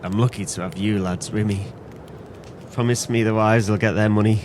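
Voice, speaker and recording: An actor playing a weak, dying drunken sailor, maudlin and trusting, in an audio play. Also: male voice, lowish voice, fairly even intonation, moderate pace, a little mellow, a little hiss or hum, lightly acted. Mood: weak, resigned.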